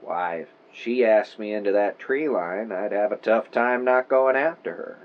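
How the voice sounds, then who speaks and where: A man's voice: lowish voice, moderate pace, narrow, tinny audio, close to an everyday voice. An actor playing an old small-town storekeeper in an audio drama, wry, friendly and folksy in his phrasing. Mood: grave, wistful. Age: older.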